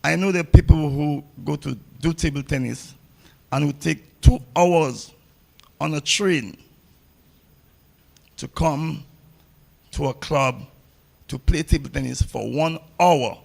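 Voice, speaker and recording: A man's voice: a little high, takes it slowly, gravelly, long pauses, darkish tone. A man giving a public ceremony speech as a table tennis coach, blunt and repeating phrases for emphasis.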